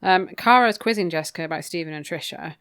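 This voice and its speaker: A woman's voice: lowish voice, quick pace, a crowd in the background. A woman co-hosting a podcast, chatting casually through a recap with wry, opinionated asides. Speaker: podcast co-host. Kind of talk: casual book recap chat.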